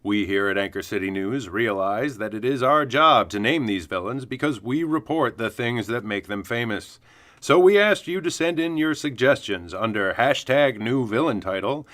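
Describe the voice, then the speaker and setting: A man playing an earnest, opinionated news anchor in a comic audio drama, presenting in a polished deadpan. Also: medium pitch, moderate pace, natural voice. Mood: announcing.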